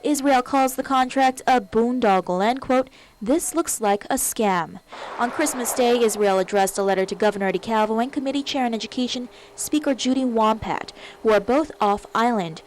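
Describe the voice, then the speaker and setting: High-pitched woman reporting the news. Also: moderate pace, slight background noise, rough recording. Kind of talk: scripted news report narration.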